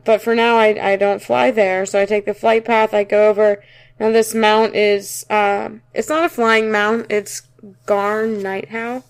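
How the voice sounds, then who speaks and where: A young woman chatting as a podcast co-host in an even, mid-pitched voice. She jokes and often trails off, then corrects herself mid-sentence. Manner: joking, trails off and restarts, self-correcting. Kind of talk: casual chat.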